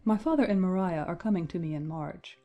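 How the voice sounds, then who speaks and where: A woman playing a composed young bride in an audiobook drama, speaking to a friend in a calm, earnest, entreating way. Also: fairly low pitch, a little fast, muffled recording, soft background music, lightly acted. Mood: composed, hopeful.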